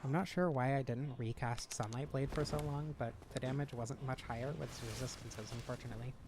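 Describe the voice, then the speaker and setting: A man's voice: mid-range voice, a little fast. A man giving casual gameplay commentary, his voice a little scratchy from weeks of not talking. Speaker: gaming channel host. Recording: home room with fan noise behind. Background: fan noise.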